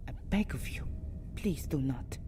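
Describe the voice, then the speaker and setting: Actress as nervous, lovesick vampire servant girl. Low register, flustered ASMR delivery, audible ambient noise. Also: animated, measured pace, mellow. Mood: pleading. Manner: stammering, flustered, pleading. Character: anxious, lovesick six-hundred-year-old vampire girl, servile to her mistress. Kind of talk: ASMR roleplay acting. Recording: clear background ambient noise.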